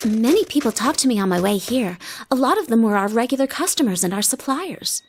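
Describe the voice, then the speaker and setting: An actress playing a shy, polite young woman in anime game dialogue, soft-spoken and demure. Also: medium pitch, slight background noise, lightly acted. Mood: polite, bashful. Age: young adult.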